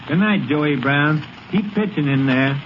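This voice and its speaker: A man's voice: on the high side, lively intonation, a little slow. A man delivering scripted, friendly banter on 1930s radio. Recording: slightly noisy, muffled vintage radio recording.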